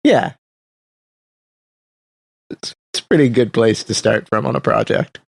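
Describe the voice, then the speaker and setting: A man co-hosts a casual radio chat with a wry, critical streak. His mid-pitched voice has plenty of vocal fry. The sound is dry and close. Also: unhurried, no room sound. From Canada. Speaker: radio co-host.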